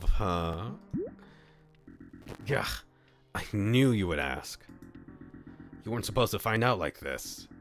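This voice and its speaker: Deep slow guy reading a visual novel on stream, playful, bit of music behind. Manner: playful, thinks aloud.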